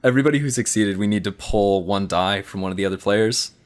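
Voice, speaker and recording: A man improvising a story as a tabletop player, casual and halting, often repeating himself. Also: fairly low pitch.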